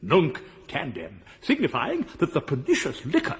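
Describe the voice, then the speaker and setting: An actor playing a pompous, wordy gentleman scholar in a radio drama. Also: fairly high pitch, wildly swooping pitch, moderate pace. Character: learned, eccentric gentleman scholar, pompous and curious. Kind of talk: 1980s radio drama acting.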